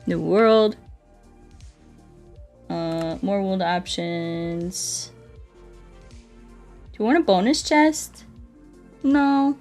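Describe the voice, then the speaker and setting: A woman's voice: mid-range voice, extremely slow, pauses often, a little mellow, faint music behind. A woman doing casual, playful game livestream commentary, chatting to viewers and often repeating her words and phrases.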